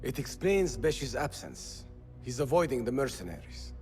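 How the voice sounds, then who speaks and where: A man's voice: medium pitch, sing-song, normal speed, a little mellow, soft background music, natural voice. A man playing a curt, defiant rebel leader. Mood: scornful. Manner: curt, commanding. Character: hard, defiant male rebel leader, ruthless and proud. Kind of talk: video game cutscene acting.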